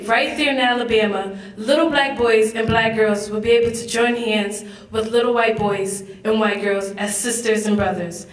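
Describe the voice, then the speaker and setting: A young woman with a fairly high voice is heard from some distance in a strongly reverberant room. She gives a dramatic reading of a speech as a student reader. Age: young adult. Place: large echoey room at a public event. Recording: echoey hall, far from the mic.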